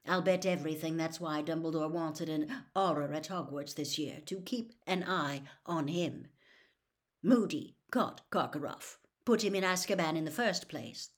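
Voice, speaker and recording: A woman's voice: lowish voice, lightly acted. Urgent grown wizard, as a woman reads him. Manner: earnest, hurried warnings. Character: troubled adult male wizard, urgent and protective.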